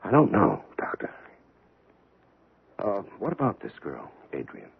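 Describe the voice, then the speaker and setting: An American actor playing a dogged, questioning investigator in a radio drama, probing and sometimes hesitant. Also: male voice, sits low, huge pitch swings, unhurried, gravelly, breathy edge, full character voice. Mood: pondering. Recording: muffled, hissy old radio broadcast.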